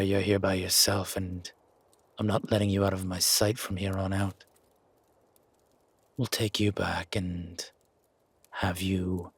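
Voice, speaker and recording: A man voicing a gruff, wary werewolf who guards his sanctuary, husky and measured, in a roleplay audio drama. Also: deep voice, a bit bright, no echo, exaggerated voice. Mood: wary, firm. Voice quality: husky, gravelly rasp. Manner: slow, measured, dry, guarded. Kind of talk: roleplay audio drama, intimate one-sided dialogue.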